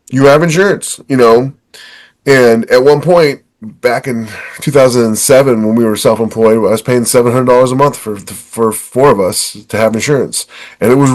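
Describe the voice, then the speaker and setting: A man co-hosting a podcast, talking casually and emphatically, acting out bits of dialogue as he goes. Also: mid-range voice. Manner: emphatic, acts out quoted dialogue. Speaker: podcast co-host. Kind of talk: casual chat.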